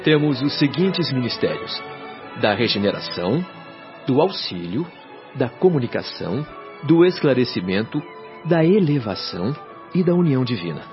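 An actor playing a devoted, solemn resident of a spirit colony, formal and didactic in radio soap style. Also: male voice, medium pitch, big pitch swings, normal speed. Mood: instructive. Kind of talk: Brazilian radio soap acting. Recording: dull radio drama with music behind.

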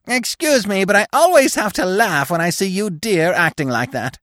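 A man voicing a cheerful, mocking storybook woodpecker with a shrill voice. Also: expressive, normal speed, a bit bright, no room sound, clean studio sound. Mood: laughing. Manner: cheerful, cackling, brash.

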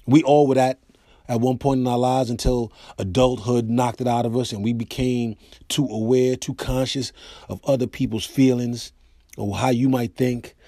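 An American man hosting his own podcast, telling comic stories in a loose, animated way. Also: medium pitch, moderate pace, no room sound, studio quality. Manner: animated storyteller, acts out quoted voices. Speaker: podcast host and comedian. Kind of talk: casual storytelling monologue.

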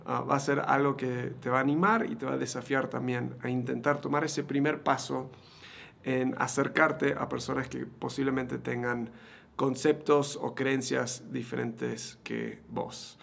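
A man in his thirties with an Argentine Spanish accent speaks as a podcast host. His voice sits at a middling pitch and has a noticeable fry in it. The delivery is conversational, made for interviews. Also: level tone, a little slow, a bit bright. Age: 30s.

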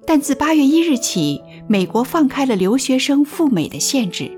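A woman narrating a scripted radio drama in a measured, dramatic manner. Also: high-pitched, sing-song, a little mellow, soft background music.

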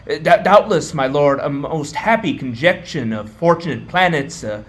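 An amateur actor playing a terrified, fawning court astrologer in a radio play, stammering and obsequious. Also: male voice, fairly high pitch, expressive, normal speed, close to an everyday voice.

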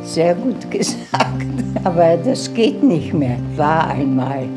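A woman with a lowish voice recites theatrically. Loud plucked guitar music plays behind her words. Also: sing-song, takes it slowly.

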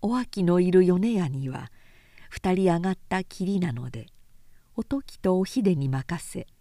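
A woman reading literary fiction aloud as a narrator, in a measured, composed and articulate way. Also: lowish voice, lively intonation, mellow, dry recording, studio quality. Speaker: narrator, reader.

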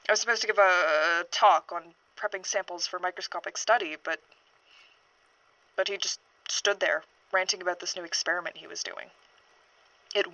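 A woman playing a troubled university scientist giving an earnest, halting witness statement in a horror audio drama. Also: medium pitch, bright, forceful tone, dry recording, poor audio. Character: troubled female university biologist reporting a missing colleague.

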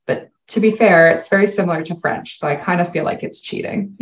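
A Canadian woman with a lowish voice chats easily as an interview guest, upbeat and quick to laugh. Her voice comes through a dull, muffled line in an echoey room. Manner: upbeat, laughs often, chatty. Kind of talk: casual interview chat.

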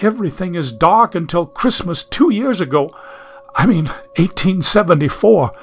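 A man's voice: high-pitched, exaggerated voice. A man reading an audiobook, voicing a genteel young woman from the 1870s who is anxious, prim and lost in the modern world. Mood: troubled. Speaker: audiobook narrator.

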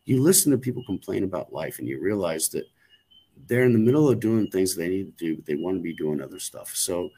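A middle-aged man with a deep, low voice talks on a podcast. He rambles and restarts often, swearing casually as he goes. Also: animated, a little fast, dark tone, husky. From United States. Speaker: podcast co-host.

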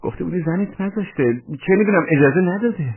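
Casting: male reader as furious scolding woman, pitched high and husky, comic story. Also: lively intonation, exaggerated voice. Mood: accusing. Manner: shrill, scolding. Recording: tinny band-limited radio-like sound.

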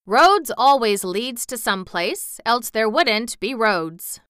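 A practical wandering man, as a woman reads him, stating things plainly and slowly. The voice is bright and clear, recorded close and dry. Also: on the high side, expressive, no room sound. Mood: matter-of-fact.

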